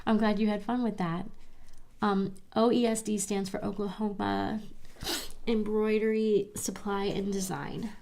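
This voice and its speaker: A woman from Baltimore hosting a crafting livestream, chatting softly and a little stuffy as she reads viewers' comments. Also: medium pitch, unhurried, gravelly. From Baltimore, Maryland. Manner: relaxed, chatty, reads viewer comments aloud with small laughs. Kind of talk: soft-spoken live crafting chat.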